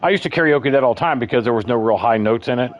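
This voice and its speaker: Male streamer, bassy voice, chatty rambler, muffled stream audio. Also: very fast. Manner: rambling, chatty storyteller, calls people bro. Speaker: livestream host. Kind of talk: casual chat.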